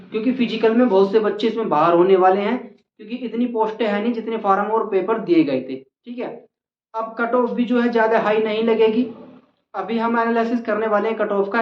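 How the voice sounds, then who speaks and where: A presenter explaining things informally to exam candidates, in an instructive way that repeats key figures for emphasis. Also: medium pitch. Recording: muffled, echoey room recording.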